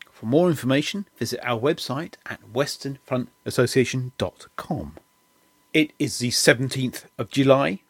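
A man with a husky voice, hosting an interview podcast with scripted introductions and questions. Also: mid-range voice, no room sound.

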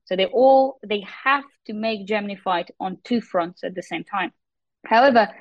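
A woman's voice: medium pitch, dry recording, muffled recording. A young adult woman from Russia with a Russian accent, explaining her research as an interview guest. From Moscow, Russia.

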